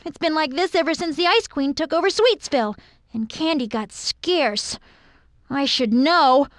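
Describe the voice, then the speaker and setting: Chatty storybook girl, high voice, bossy, sassy, dry close recording, cartoon game acting. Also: a little slow, dry recording. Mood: indignant.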